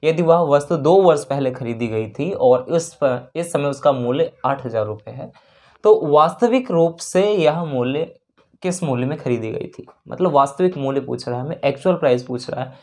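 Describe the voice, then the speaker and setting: Male maths teacher, medium pitch, instructional, question-and-answer delivery, English terms mixed in, repeats key steps. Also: animated, normal speed, a little mellow. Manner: mixes English terms into speech. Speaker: maths teacher for exam preparation. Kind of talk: spoken maths lesson, working through questions.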